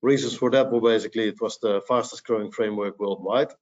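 A man presenting in an online webinar, speaking in a measured way with fillers, over a headset on a video call. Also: on the low side, fairly even intonation. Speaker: presenter, bank employee. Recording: dull, lo-fi video call audio.

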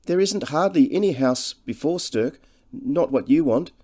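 An anxious, humble country mother from a storybook, played by a male reader. The pitch is lifted well up and the tone is soft-edged. The speech is deferential and hesitant, fretting in small repeated phrases. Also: measured pace. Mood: fretful, preoccupied. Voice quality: monotonous. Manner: deferential, fretful, hesitant, repetitive. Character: anxious, humble working-class English countrywoman, fretful mother.

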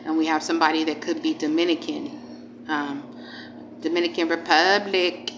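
A woman's voice: deep voice, steady tone, moderate pace. A woman giving a casual spiritual tarot reading, informal and emphatic, repeating phrases and speaking straight to the viewer. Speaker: tarot reader, channel host. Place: indoors at a table. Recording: clearly noisy home recording.

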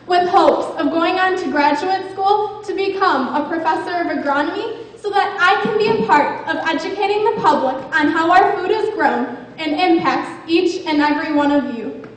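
A woman's voice: very high voice, normal speed. A young woman from Wisconsin giving a rehearsed, earnest pageant speech on a handheld mic. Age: young adult. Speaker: pageant contestant. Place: large hall stage. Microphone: handheld mic, far camera. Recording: echoey hall from far off.

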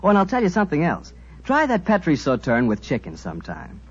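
Announcer, male, husky, lively folksy ad read, dull old radio sound. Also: fast talker. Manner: friendly, folksy salesman pitch.

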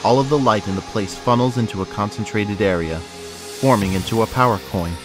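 A man's voice: lowish voice, fairly quick. A man giving scripted narration for an action audio drama. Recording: loud music over the voice.

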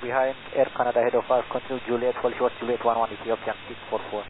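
A man's voice: medium pitch, little pitch movement, fast talker. A man speaking as an airline pilot, giving clipped readbacks over aviation radio. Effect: air traffic radio. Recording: noisy, clicky narrowband aviation radio.

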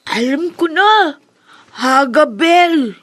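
A Filipino woman playing a gentle, wistful young boy in a radio drama, childlike and earnest. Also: sits high, lively intonation, drawn-out words, dry recording, heavily acted. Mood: wistful, wondering.

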